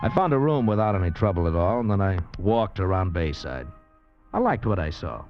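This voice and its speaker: A man's voice: deep voice, very animated, brisk. A man playing a slangy, cynical drifter who narrates his own story in 1940s radio noir style. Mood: suspicious narration. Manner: hard-boiled, slangy, casual. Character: handsome drifter, failed movie actor, wary cynical noir narrator. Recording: muffled vintage radio recording, loud music.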